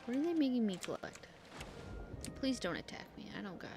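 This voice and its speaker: A woman's voice: on the high side, big pitch swings, fairly quick. A woman streaming a game, muttering blunt, slangy commentary to herself. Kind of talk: casual gameplay commentary. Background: faint game music, keyboard clicks.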